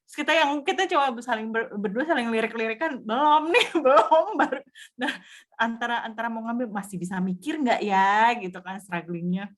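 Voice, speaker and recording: A woman's voice: on the high side, moderate pace, a bit bright. A woman sharing her experience in a casual group chat over a video call, hesitant, with frequent filler sounds and restarts.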